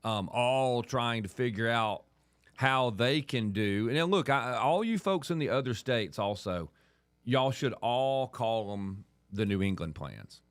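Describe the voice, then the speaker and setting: A man's voice: mid-range voice, unhurried, clean tone, no echo. A middle-aged man with a Southern accent from Alabama, hosting casual political talk radio in a folksy way. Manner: folksy, animated, jokes in an exaggerated drawl. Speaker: radio show host.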